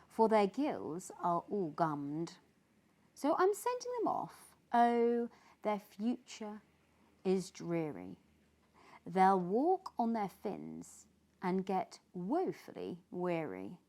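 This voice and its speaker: A woman's voice: mid-range voice, darkish tone. A woman voicing an indignant, weary forest guardian creature who scolds in emphatic rhymes for a children's storybook. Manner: emphatic, exclamatory, rhythmic rhyming delivery. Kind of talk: children's storybook read-aloud.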